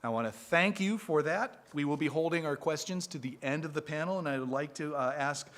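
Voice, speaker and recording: A man reading a prepared talk to a live audience as a speaker for a historic site, measured and steady. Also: fairly high pitch.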